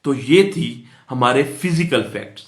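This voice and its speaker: A man's voice: medium pitch, expressive, a little slow. A man with a Pakistani accent giving an explanatory law lecture in a didactic way, repeating phrases and checking that listeners follow. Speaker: law teacher. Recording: roomy, echoing sound.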